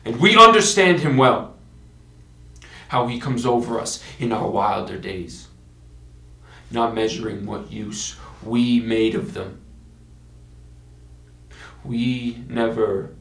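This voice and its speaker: A man performing a Shakespearean monologue as a proud, vengeful young king, declaiming deliberately with dramatic pauses. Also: medium pitch, a bit bright. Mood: restrained, icy courtesy. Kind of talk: Shakespearean stage monologue in verse. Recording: echoey room.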